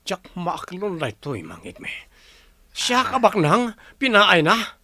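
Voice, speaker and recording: A man playing a jilted, wealthy boss in a radio drama. His voice is tense and bright, recorded very dry. He speaks with bitter indignation and menace. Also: high voice, sing-song, slow, dry recording, heavily acted. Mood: angry, bitter. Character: bitter rejected suitor, an angry, wealthy male boss. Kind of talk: Ilocano radio drama acting.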